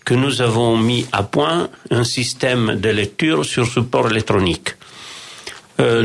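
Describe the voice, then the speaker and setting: A man with a low, gravelly voice speaks as a publisher in a radio interview. He talks very slowly, in a measured, explanatory way, pausing and restarting often. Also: fairly bright tone. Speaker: publisher, co-founder of a publishing house.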